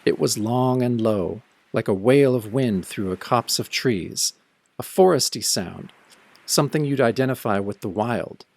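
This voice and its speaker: A wry, world-weary man played by a male actor tells his own werewolf tale in the manner of an old radio horror show. His delivery is dramatic and brooding, with a sardonic edge, at a middling male pitch. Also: a little slow, gravelly, a little mellow, no echo. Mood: haunted. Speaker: first-person narrator of an audio drama.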